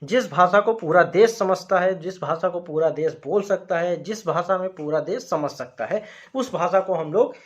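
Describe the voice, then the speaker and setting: A man from India teaching a lesson, explaining slowly and repeating key points in an emphatic teacher's way. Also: high-pitched. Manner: repetitive, emphatic teacher phrasing. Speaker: educator.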